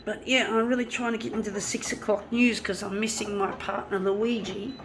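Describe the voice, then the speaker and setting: A woman, hoarse from a flu with a blocked nose, chatting and swearing freely as she works. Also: medium pitch, fairly even intonation, fairly quick, noisy background. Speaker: artist. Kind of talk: casual talk while working.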